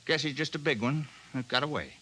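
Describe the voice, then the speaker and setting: A man's voice: a little high, a little fast, heavy background noise, lightly acted. A man playing a simple, good-natured village fisherman, plainspoken and rueful, in a 1950s radio drama. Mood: wistful.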